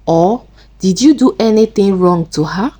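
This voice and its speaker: A woman's voice: medium pitch, animated, unhurried, warm tone. A woman voicing a puzzled, resigned husband in a folktale, questioning and matter-of-fact. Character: puzzled husband, a generous but resigned man.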